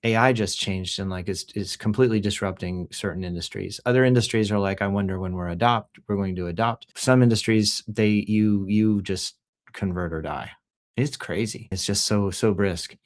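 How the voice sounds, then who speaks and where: A middle-aged man hosting a livestream, talking casually and jokily in an animated, rambling, off-the-cuff way with rhetorical questions. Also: lowish voice, normal speed. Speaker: host of a livestream news show. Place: office.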